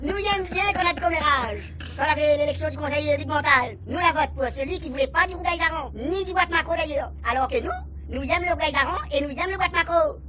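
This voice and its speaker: A woman with a Réunionese Creole accent voicing an excitable alien official who rambles on in a radio comedy serial. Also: sits high, fast, a crowd in the background, natural voice. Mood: excited. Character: chattering alien official from another planet.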